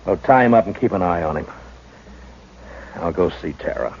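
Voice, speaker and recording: An actor playing a calm, firm frontier marshal who also narrates, in a 1950s radio drama. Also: male voice, creaky, a little hiss or hum. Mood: quiet. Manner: low, calm, measured. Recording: dull old radio recording with music.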